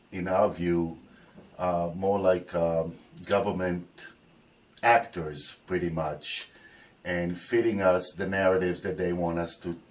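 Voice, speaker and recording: A man from New Jersey answering interview questions, halting with many fillers and restarts. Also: low-pitched, a little mellow, recorded from a distance. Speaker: interviewee, biker group co-founder.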